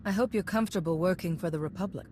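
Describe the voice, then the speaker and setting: Woman acting low-toned, resolute commander. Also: fast talker, darkish tone, a little hiss or hum, close to an everyday voice. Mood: weary, composed. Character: resolute, forgiving female commander of a galactic alliance. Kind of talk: video game cutscene acting.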